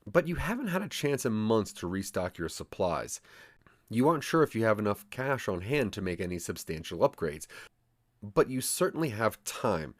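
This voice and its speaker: Deep-voiced man narrating an audio drama, animated delivery. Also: moderate pace, no echo, clean studio sound. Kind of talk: scripted second-person story narration.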